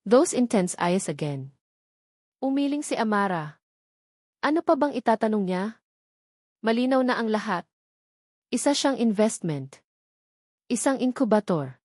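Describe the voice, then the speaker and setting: A woman's voice: fairly high pitch, sing-song, unhurried, long pauses, darkish tone, no echo, studio quality. A woman reading scripted, dramatic narration as the storyteller of a romance audio story.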